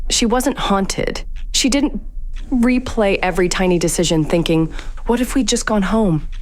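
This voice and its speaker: A woman's voice: mid-range voice, close to an everyday voice. A woman playing a guilt-haunted, grieving young woman in an audio drama, introspective, brooding and wry. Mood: anguished. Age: young adult. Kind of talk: audio drama acting, confessional narration.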